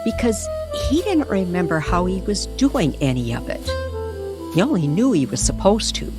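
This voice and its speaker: A woman's voice: on the low side, sing-song, moderate pace, over music. A woman narrating a scripted eerie story in an old-time radio style.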